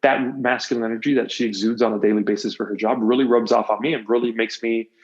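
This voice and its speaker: A man's voice: medium pitch, steady tone, fast. A man in his thirties chatting openly and casually as a podcast guest, rambling and repeating phrases for emphasis. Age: 30s. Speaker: interview guest; motivational coach, podcast host, former athlete. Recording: muffled, dull lo-fi audio.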